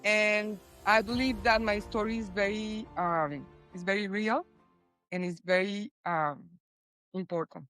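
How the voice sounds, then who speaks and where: A woman's voice: mid-range voice, steady tone, a little slow, a bit bright, soft background music. A woman with a Colombian accent, a podcast guest telling her life story in casual, rambling talk full of fillers and restarts. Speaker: guest, business founder. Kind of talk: casual interview chat.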